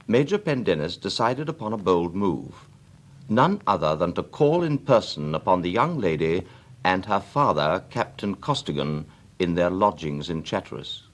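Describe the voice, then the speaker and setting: A man with a British accent narrating a scripted period story for radio drama as a literary storyteller. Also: fairly low pitch.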